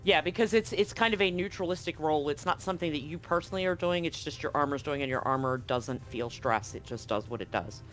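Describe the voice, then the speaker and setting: A man's voice: a little high, a little fast, hardly any pauses, fairly bright tone. A man acting as game master, improvising narration and ruling on dice rolls in a tabletop roleplaying game. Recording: music behind the voice. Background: music.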